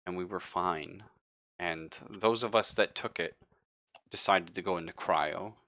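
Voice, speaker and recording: A man's voice: low-pitched, vocal fry, bright, forceful tone, no echo, studio quality, heavily acted. Man playing a nervous, stammering young spaceship survivor. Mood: evasive, explaining. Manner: halting, stammering.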